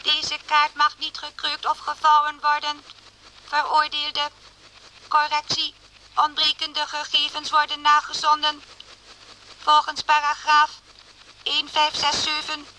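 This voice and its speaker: An actress voicing an emotionless computer in a radio drama, reciting records in staccato, mechanical phrases. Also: female voice, high voice, completely flat delivery, measured pace, long pauses, bright, forceful tone, slight background noise, poor audio.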